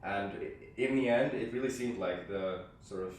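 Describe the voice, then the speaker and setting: A man in his twenties from Finland, co-hosting a casual podcast from his home, explaining things calmly with a dry sense of humor. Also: on the low side, moderate pace. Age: 20s. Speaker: podcast co-host. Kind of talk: casual chat. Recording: echoey room, distant mic, faint noise.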